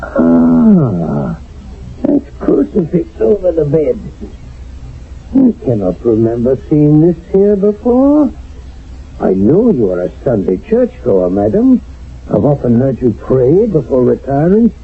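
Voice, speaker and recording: Husky, slow-spoken, suspicious husband. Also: male voice, a little high, huge pitch swings, narrow, tinny audio, full character voice. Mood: suspicious, stern. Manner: formal, deliberate, commanding. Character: suspicious, coldly controlled jealous husband. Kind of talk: radio drama acting. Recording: band-limited old radio recording.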